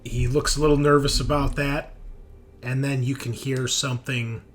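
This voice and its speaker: A man's voice: mid-range voice, little pitch movement, normal speed. A man narrating a tabletop roleplaying game as game master, conversationally, often checking in with his players. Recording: noisy background ambience.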